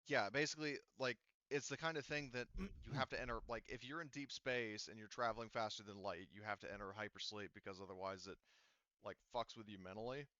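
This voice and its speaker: Guy game mastering, lowish clear voice, rambles with lots of ums, bit dull lo-fi mic. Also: sharp tone, no echo. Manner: halting, filler-heavy, rambling explanations. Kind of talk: casual narration of tabletop game.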